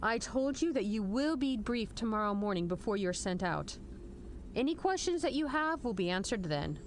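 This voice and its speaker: A woman's voice: mid-range voice, lively intonation, normal speed, slight background noise, natural voice. A woman playing a stern military general in an audio drama, formal and authoritative with a dry, wry edge. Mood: firm. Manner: formal, authoritative briefing delivery. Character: stern, commanding female military general, measured and dryly wry. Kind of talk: scripted audio drama acting.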